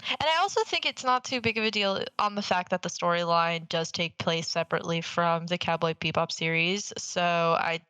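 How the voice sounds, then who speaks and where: A woman co-hosting a podcast in casual, excitable chat, informal and given to swearing. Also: lowish voice, moderate pace, clear, resonant tone, no echo, poor audio. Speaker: podcast co-host. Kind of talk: casual enthusiastic chat about anime.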